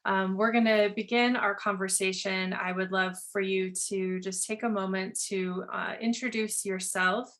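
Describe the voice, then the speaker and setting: A woman's voice: mid-range voice, fairly even intonation, moderate pace, hardly any pauses, a bit bright. A woman hosting a conversational interview podcast, speaking in an enthusiastic, effusive manner. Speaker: podcast host.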